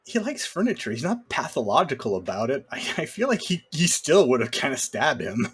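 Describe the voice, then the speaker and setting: A man's voice: medium pitch, big pitch swings, fairly quick, husky. A man in casual film discussion, speaking in a wry, opinionated way. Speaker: podcast guest co-host.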